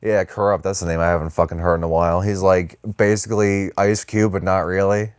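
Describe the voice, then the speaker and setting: A man's voice: sits low, flat delivery, brisk, a little mellow, clear, resonant tone, dry recording, clean studio sound. A man co-hosting a podcast, riffing in casual, irreverent, sweary banter. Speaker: podcast co-host.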